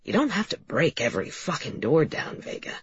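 A woman's voice: on the low side, very animated, normal speed, husky, dull audio. A woman voicing a male investigator in an audiobook, weary and firm, with a blunt, controlled way of talking. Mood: hushed. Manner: blunt, controlled, formal when questioning.

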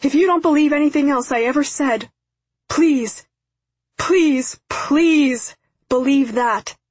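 Woman acting a desperate, breathless pleading father, pitched high. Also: steady tone, normal speed, husky, no room sound. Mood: anguished screaming. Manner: pleading, breathless, repetitive outcries. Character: desperate, terrified, tearful father pleading for forgiveness. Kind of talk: audiobook character acting.

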